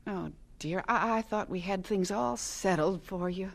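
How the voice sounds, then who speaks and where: A woman's voice: medium pitch, a little slow, creaky. A woman playing a kindly, insistent married aunt in a radio drama, with a coaxing, exclaiming way of talking. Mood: concerned, dismayed. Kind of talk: dramatized radio biography acting.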